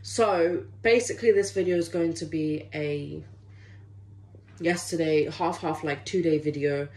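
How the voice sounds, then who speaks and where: A woman's voice: deep voice, a little slow. A young woman chatting to her phone camera while she does dishes in a small home kitchen. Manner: chatty, apologetic, talks while washing dishes. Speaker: vlogger. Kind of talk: casual chat to camera while doing chores. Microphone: phone camera propped on the counter. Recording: loud running water from the sink. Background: running water and kitchen clatter.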